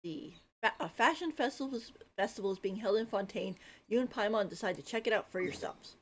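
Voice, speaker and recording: A woman's voice: mid-range voice, expressive, brisk, slight background noise. A woman streaming a video game, chatting informally and thinking aloud as she goes. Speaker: livestreamer. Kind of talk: casual game stream chat.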